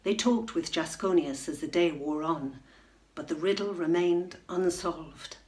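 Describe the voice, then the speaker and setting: A middle-aged woman reading a children's bedtime story aloud at home, expressive, into a webcam. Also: lowish voice, measured pace, rough recording. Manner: expressive, rising to loud excitement in dramatic moments. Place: room at home.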